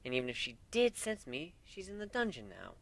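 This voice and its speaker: A man's voice: on the high side, huge pitch swings, brisk, creaky, fairly bright tone, voice pushed up, exaggerated voice. A man voicing a sly, playful female changeling wife in a fan-fiction reading. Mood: smug, amused. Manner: sly, matter-of-fact.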